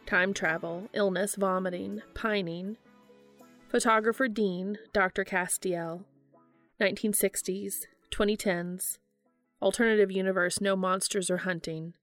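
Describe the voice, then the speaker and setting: A woman's voice: medium pitch, steady tone, unhurried, a bit bright, soft background music. A woman reading a story aloud in audiobook-style narration, as the reader of a fiction podcast.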